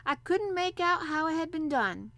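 A woman's voice: very high-pitched, expressive, normal speed, pushed and bright, dry recording, pitched above the performer's own voice, full character voice. An earnest, friendly country lad , acted by a woman. He speaks in Yorkshire dialect with open wonder, as a storybook character. The sound is dry and close. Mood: eager, wondering.

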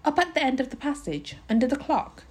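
A woman voicing a weary, gruff father of tiny hidden people, resigned and speaking in plain rustic dialect, in a storybook reading. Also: high-pitched, animated, fairly quick, a little mellow, pitched above the performer's own voice. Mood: grim, urgent.

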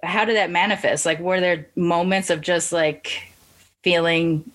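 Woman podcast host, bit low voice, thoughtful and chatty, long rambly questions. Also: fairly even intonation, unhurried, a bit bright. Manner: reflective, laughs often, asks long open questions. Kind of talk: casual interview conversation.

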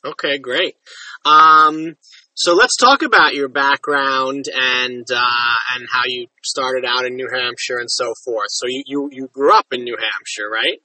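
A man's voice: mid-range voice, measured pace, bright, forceful tone, no echo, far from the mic, low-quality recording. A man hosting a casual interview, asking follow-up questions with frequent fillers and restarts. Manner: conversational, asks follow-up questions with many fillers. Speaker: interview host.

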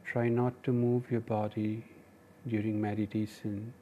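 A man with an Indian accent leading a guided meditation, giving gentle, measured instructions in short phrases with pauses. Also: fairly low pitch, little pitch movement, muffled recording, slight background noise. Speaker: meditation teacher and podcast host.

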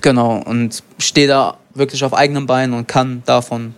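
A young man from Germany, an MMA fighter and guest, answering in a relaxed, rambling way with lots of fillers. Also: medium pitch, normal speed. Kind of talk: casual interview.

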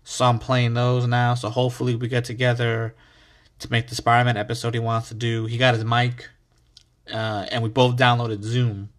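A young man from the United States hosts his own podcast and tells a story in a loose, chatty monologue. He is animated and foul-mouthed, and his voice often jumps into sudden loud outbursts. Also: medium pitch, normal speed. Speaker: podcast host.

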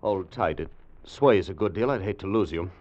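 An actor playing a confident, driven scientist inventor in a 1950s radio drama, speaking with assured, dramatic emphasis. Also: male voice, low voice, expressive, moderate pace, darkish tone. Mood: brisk, wry. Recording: muffled old radio broadcast, faint noise.

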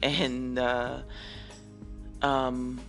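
Woman in her 40s, podcast host; husky, sultry morning voice, warm-paced earnest delivery over faint music. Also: very deep voice, flat delivery. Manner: earnest, unhurried and affirming. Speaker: singer-songwriter hosting a call-in podcast. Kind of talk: reflective podcast talk answering listener messages.

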